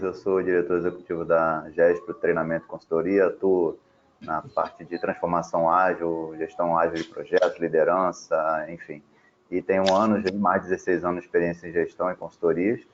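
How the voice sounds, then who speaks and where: A man's voice: deep voice, level tone, a little fast. A man who works as an executive director and consultant, introducing himself on an online panel in a businesslike way. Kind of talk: self-introduction on a web panel. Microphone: video call. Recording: muffled web call with faint noise.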